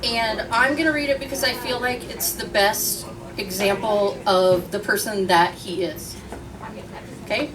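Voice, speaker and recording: A woman reading a letter aloud at a memorial gathering, giving short listed phrases with pauses between them. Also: mid-range voice, fairly even intonation, a crowd in the background. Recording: distant mic, noisy crowded venue.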